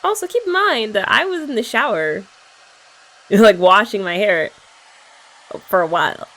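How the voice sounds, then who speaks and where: A woman's voice: medium pitch, expressive, a little slow, a bit bright, poor audio. A young woman in an ASMR girlfriend roleplay, chatting in a playful, teasing way.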